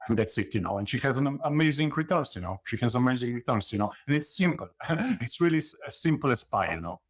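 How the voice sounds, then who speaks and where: A man from Slovenia, a guest in an interview, explaining investing in a halting way full of fillers and "you know". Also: medium pitch, lively intonation. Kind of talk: conversational interview.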